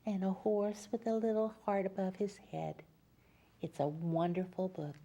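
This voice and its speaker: A woman reading a picture book aloud as a Mother Goose storyteller, pausing for chatty explanations to young listeners. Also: fairly low pitch, mellow. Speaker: children's storyteller and host.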